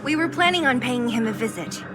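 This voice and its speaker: A woman's voice: a little high, expressive, brisk, music in the background, natural voice. A woman voicing a blunt, impatient young female fighter in a video game. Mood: firm. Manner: blunt, assertive, confrontational. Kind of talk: scripted video game voice acting.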